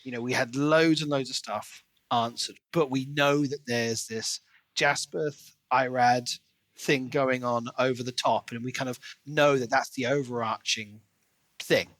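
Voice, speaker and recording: A man's voice: mid-range voice, fairly even intonation, unhurried, fairly bright tone, no echo. A man with a mid-range voice talks through a book as a podcast co-host. He is casual but analytical, working out his thoughts as he goes. The sound is dry, with little room on it.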